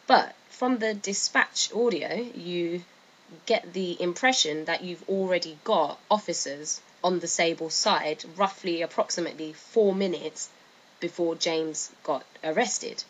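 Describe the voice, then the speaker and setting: A woman's voice: lowish voice, unhurried, rough recording. A woman giving informal explanatory commentary in a hesitant, tentative manner. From United Kingdom. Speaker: video narrator. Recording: faint background noise.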